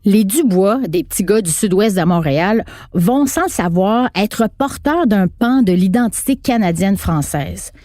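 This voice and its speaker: A woman reading scripted documentary narration in a measured tone. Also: mid-range voice, lively intonation, warm tone, no echo, studio quality, faint music behind. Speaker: podcast narrator.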